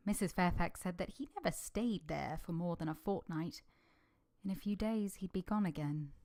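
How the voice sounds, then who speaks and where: A woman playing a quiet, plain-spoken young governess in a vintage-style radio drama, earnest and measured, both in dialogue and first-person narration. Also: on the low side, close to an everyday voice. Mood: reflective, uneasy.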